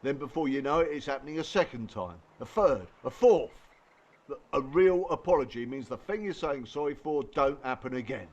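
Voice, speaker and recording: A man's voice: mid-range voice, lively intonation, moderate pace, lo-fi sound, close to an everyday voice. An actor playing a blunt, streetwise male friend in a radio play, sardonic and challenging in colloquial speech.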